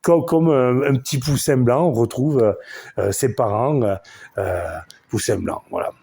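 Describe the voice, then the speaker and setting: A man's voice: mid-range voice, measured pace, vocal fry, mellow, husky. A man in his forties with a Southern French accent, delivering a crude, boastful podcast monologue full of swearing. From southern France. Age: 40s. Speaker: podcast host.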